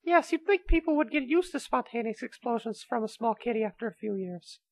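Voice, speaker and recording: A woman voicing an immortal magical cat, childish, gleefully wicked and excitable, in an amateur comedic radio play. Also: a little high, fairly quick. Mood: mischievous, casual. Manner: brash, excitable, whiny.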